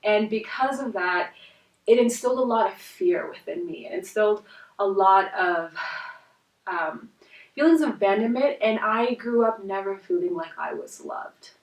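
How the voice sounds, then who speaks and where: A woman in her twenties from India, a native Hindi speaker, telling her life story as a life coach in an earnest, reflective way with soft pauses. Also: mid-range voice, animated. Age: 20s. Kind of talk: personal storytelling vlog. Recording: roomy echo, voice from a distance.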